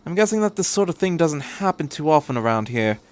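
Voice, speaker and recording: A man's voice: a little high, sing-song, brisk. A man voicing an upbeat, eccentric male traveller who rambles in British slang, in a storybook reading. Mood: musing.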